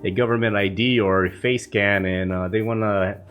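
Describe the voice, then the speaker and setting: A man's voice: lowish voice, fairly even intonation, moderate pace, fairly bright tone. A man with a bit of a cold, talking to camera in a casual, rambling vlog, swearing freely and full of fillers. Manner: rambling, profane, many fillers. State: coming down with a cold. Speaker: vlog host. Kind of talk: casual morning vlog talk. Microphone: camera mic.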